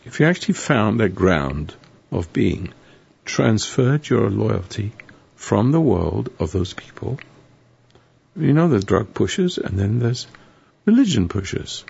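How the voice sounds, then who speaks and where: A hoarse man hosting a live call-in radio show, rambling and preachy with a sardonic edge. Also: on the low side, sing-song, a little slow, a little mellow. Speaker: radio talk show host. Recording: dull lo-fi radio broadcast.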